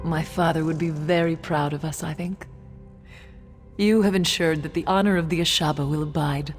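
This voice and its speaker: A woman voicing an honorable, warm-hearted desert tribeswoman in a video game, speaking earnestly and formally. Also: sits low, steady tone, moderate pace, close to an everyday voice. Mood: grateful, earnest. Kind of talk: scripted game dialogue. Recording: long hall reverb. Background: game music.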